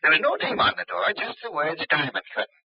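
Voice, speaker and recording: An actor playing an earnest, deferential police subordinate in an old radio crime drama, stammering and rambling. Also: male voice, a little high, animated, quick pace, gravelly, slightly rough, no room sound, full character voice. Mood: eager, flustered. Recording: muffled, band-limited old radio recording.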